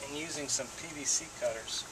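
A man's voice: on the high side, a little fast, very noisy. A middle-aged man giving a plain, step-by-step how-to demonstration of wiring a solar setup, talking while he works. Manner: plain step-by-step explaining, relaxed. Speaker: DIY instructor. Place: outdoors by a house, then indoor workshop. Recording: slightly distant camera audio with faint ambience.

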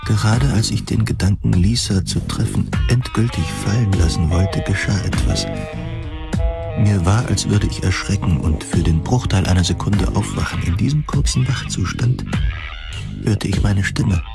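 A man narrating a first-person science fiction audio drama in measured, deliberate, literary phrasing. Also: low voice, vocal fry, music in the background.

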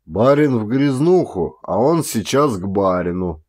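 A man's voice: lowish voice, animated, darkish tone. A male actor voicing a talkative, wry village peasant who tells his tale in a folksy, exclamatory way, for an audiobook. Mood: animated marvelling. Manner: folksy, exclamatory storytelling with drawn-out pauses. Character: garrulous rustic village peasant man, marvelling and wry. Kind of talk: dramatised audiobook monologue. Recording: music under the voice.

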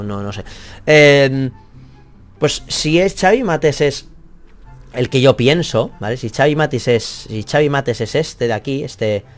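A young man who grew up speaking Catalan talks into a headset at his office desk, wandering off track as he reacts to comments. He runs himself down and swears offhandedly. Faint music plays underneath. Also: mid-range voice. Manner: rambling, self-deprecating, swears casually. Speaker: math youtuber. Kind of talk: reading and answering comments.

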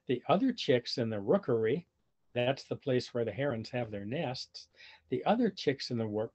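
A man with a husky voice reading a children's storybook aloud expressively and deliberately, pausing to explain things simply to young listeners. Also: a little high, a little mellow. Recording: dull lo-fi video call audio.

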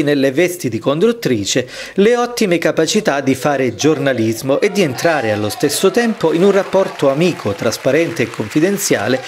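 A man's voice: fairly high pitch, slight background noise. A man reading a scripted voice-over report as narrator. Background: music.

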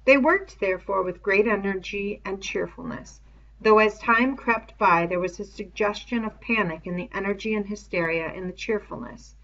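A woman's voice: medium pitch, moderate pace, a bit bright. A woman reading a novel aloud as scripted narration, on a dull lo-fi recording. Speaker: audiobook reader.

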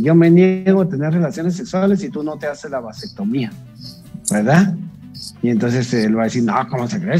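A middle-aged man with a Guatemalan Spanish accent, a doctor calmly answering call-in questions with frank advice and rhetorical questions. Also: mid-range voice. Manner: calm, explanatory, asks rhetorical questions. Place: home room on a video call.

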